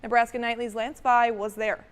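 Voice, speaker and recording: A young woman co-anchoring a student newscast, reading the news in a measured, formal way. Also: on the high side, level tone, clean tone, in a large hall. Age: young adult.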